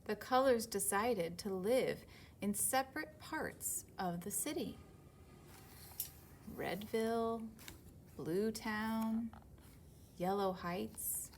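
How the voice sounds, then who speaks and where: A woman's voice: mid-range voice, slow, pauses often. A woman with an American accent hosting a children's story time, talking simply and putting questions to young listeners. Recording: noticeable background noise.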